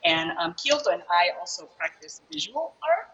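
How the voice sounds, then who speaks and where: A low-voiced woman raised in Chicago with Japanese as her first language talks through her story in a loose, rambling way. She leans on fillers as she answers. The call audio is dull and carries a faint hiss. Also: very animated, normal speed, a bit bright. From Chicago, USA. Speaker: guest taiko performer. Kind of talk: casual interview answers. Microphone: shared mic on a video call.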